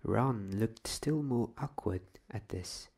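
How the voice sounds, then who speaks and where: A man hosting a soft-spoken ASMR bedtime story reading, hushed and often drifting toward a whisper. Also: lowish voice, slow pace, vocal fry, slightly rough.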